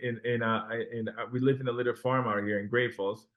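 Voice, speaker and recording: A man's voice: mid-range voice, steady tone, brisk, narrow, tinny audio. Earnest man. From Peru. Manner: earnest, many restarts and fillers. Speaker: guest, restaurant owner. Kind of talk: casual interview storytelling.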